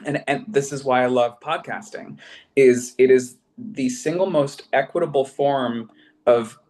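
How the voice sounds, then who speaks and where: A man's voice: mid-range voice, fairly even intonation, measured pace, a little mellow. A man speaking as a panel guest, giving advice in an earnest, emphatic and pleading way. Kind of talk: casual advice-giving talk.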